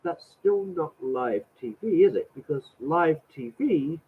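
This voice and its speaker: A man's voice: a little high, animated, measured pace, breathy edge. A man with a cold and a slightly nasal voice, sarcastically commenting as he reads out a letter. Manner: exasperated, sarcastic, swears. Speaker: vlogger reading out a letter. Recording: tinny phone-like audio.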